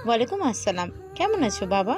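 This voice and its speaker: A woman acts an obliging middle-aged auntie in an audio story, polite, with very lively intonation and music clearly behind her. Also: on the high side, fast talker. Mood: friendly.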